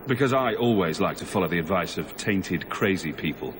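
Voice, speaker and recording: A man's voice: deep voice, expressive, brisk. An actor playing a sardonic male mage hero in a video game, dry and direct. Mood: sardonic, wary. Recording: noisy ambience behind the voice.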